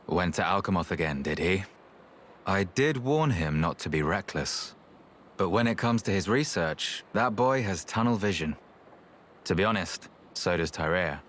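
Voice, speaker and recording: Low-voiced, measured, pragmatic researcher. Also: male voice, lively intonation, fairly bright tone, a little hiss or hum. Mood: wry, fond. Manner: measured, thoughtful, understated. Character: calm, measured male researcher, weary and pragmatic elder. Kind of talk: video game voice acting.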